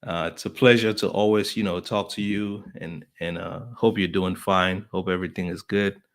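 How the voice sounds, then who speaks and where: Male podcast host, a bit low-pitched, loose and chatty, lots of "uh" and "you know", calls out viewers by name. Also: fairly even intonation, normal speed. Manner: chatty, friendly, lots of fillers. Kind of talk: casual live-stream welcome.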